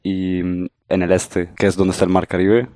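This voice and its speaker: Guatemalan guy in his 30s, very low mellow voice, calm measured podcast guest. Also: no echo, clean studio sound. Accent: Guatemalan Spanish. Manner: calm, measured, modest explainer. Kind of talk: casual explanatory interview talk.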